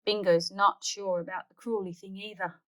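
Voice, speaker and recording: A woman's voice: medium pitch, steady tone, moderate pace, poor audio. A woman hosting a read-aloud, narrating a children's storybook expressively.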